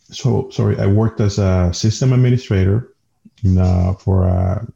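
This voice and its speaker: Low mellow guy w dominican spanish accent, very dark tone, chatting on a podcast, muffled audio. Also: fairly even intonation, moderate pace, vocal fry. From Dominican Republic. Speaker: podcast guest, engineer. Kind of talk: casual interview storytelling.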